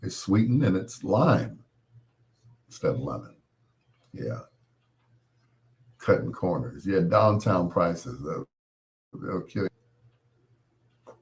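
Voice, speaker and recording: A middle-aged man with a very low voice, gone hoarse from a cold. He hosts a late-night radio show in a loose, rambling, folksy way and chuckles at his own corny jokes. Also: expressive, slow pace, long pauses.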